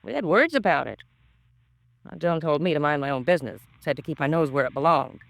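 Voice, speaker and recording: Gossipy landlady from 50s radio cop show, chatty folksy slang, gravelly, disapproving. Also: deep voice, animated, brisk, dry recording. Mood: confiding. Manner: folksy, chatty, ungrammatical colloquial talk. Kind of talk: 1950s radio crime drama acting.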